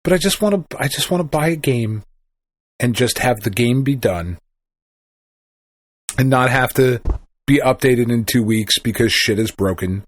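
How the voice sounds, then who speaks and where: A man's voice: mid-range voice, lively intonation, moderate pace, long pauses. A man co-hosting a casual podcast chat, easygoing and teasing. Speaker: podcast co-host.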